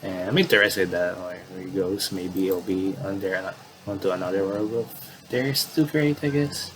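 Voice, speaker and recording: A man's voice: low-pitched, fairly even intonation, moderate pace, noisy background. A man commentating on a game playthrough, casually reading story text aloud and often stumbling and rereading phrases.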